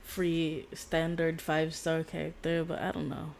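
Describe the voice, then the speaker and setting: A woman's voice: low-pitched, completely flat delivery, a little slow, creaky, a little hiss or hum. A woman livestreaming a game with casual, giggly, rambling commentary. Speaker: streamer. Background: video game audio.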